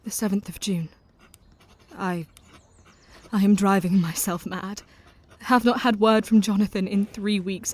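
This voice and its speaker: A woman's voice: mid-range voice, close to an everyday voice. A woman voicing a devoted, self-doubting young woman, earnest and caring, who stammers when nervous. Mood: brooding. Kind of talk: audio drama acting and diary narration.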